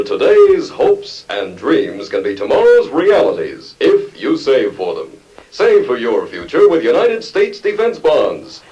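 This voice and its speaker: A man's voice: medium pitch, a little mellow, lo-fi sound. A man announcing in a formal, emphatic 1950s radio style.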